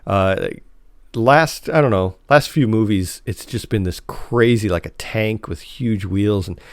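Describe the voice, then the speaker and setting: A man's voice: on the low side, a little slow, no room sound. Man chatting casually, dry close sound. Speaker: podcast host. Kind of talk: casual podcast chat.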